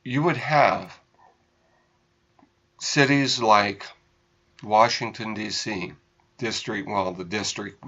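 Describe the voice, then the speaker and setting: An American man giving unscripted political commentary as a radio host, in halting, deliberate phrases with emphasized words. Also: on the low side, fairly bright tone, dull audio.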